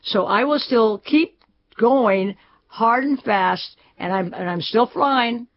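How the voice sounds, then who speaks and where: A woman's voice: fairly high pitch, slow pace. An older woman speaking proudly and emphatically in an archive interview. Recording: dull archive recording.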